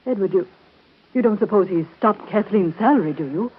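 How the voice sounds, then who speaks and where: Genteel worried aunt, played by an actress, middle-aged, hesitant, vintage radio serial, tinny and slightly noisy. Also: female voice, mid-range voice, lively intonation, brisk, lightly acted. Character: anxious genteel middle-aged aunt, fretting over money. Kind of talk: 1930s radio soap acting.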